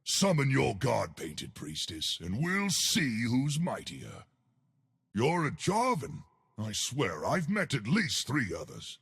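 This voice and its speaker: An actor voicing a huge, friendly, blustery stone gargoyle in a video game, with boastful, simple wording and a gravelly voice. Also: male voice, medium pitch, huge pitch swings, slow, fairly bright tone, full character voice. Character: huge friendly stone gargoyle colossus, blustery, dim, big-hearted protector. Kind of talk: video game character voice lines.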